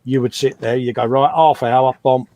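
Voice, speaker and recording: A man's voice: medium pitch, fairly even intonation, moderate pace, dark tone. A man, a fellow model maker, chatting matter-of-factly on a live stream, often saying "you know". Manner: conversational and matter-of-fact, with frequent "you know". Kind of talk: casual chat on a livestream.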